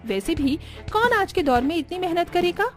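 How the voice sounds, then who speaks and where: Casting: woman playing vain, brazen young daughter-in-law for animated family drama; high, snippy, whiny delivery over faint music. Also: normal speed. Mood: snippy, defiant. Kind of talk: Hindi animated family story dialogue.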